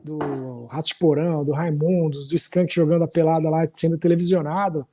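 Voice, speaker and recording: Relaxed Paulistano man, mellow voice. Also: a little high, a little fast. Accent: Paulistano Brazilian Portuguese. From São Paulo, Brazil. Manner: relaxed, rambling, with frequent tag questions. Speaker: podcast guest, musician. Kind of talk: casual storytelling chat.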